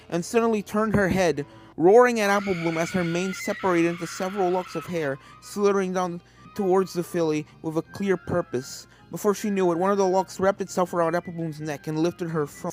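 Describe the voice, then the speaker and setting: Woman narrating over soft music. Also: fairly low pitch, brisk. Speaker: fan fiction narrator. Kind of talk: scripted story narration. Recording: game music under the voice.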